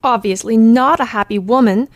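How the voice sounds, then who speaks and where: A woman's voice: a little high, normal speed, dry recording, studio quality, close to an everyday voice. A woman playing an earnest, proper young girl, a detective's cousin, speaking precisely in an old-fashioned radio play. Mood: disapproving. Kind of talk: radio play acting and storytelling narration.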